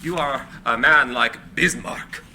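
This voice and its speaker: A man's voice: medium pitch, sharp tone. A man playing a brilliant, eccentric, proud and desperate inventor in a theatrical, impassioned dramatic script reading. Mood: indignant, impassioned. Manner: theatrical, impassioned, halting when agitated. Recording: live room recording with faint background noise.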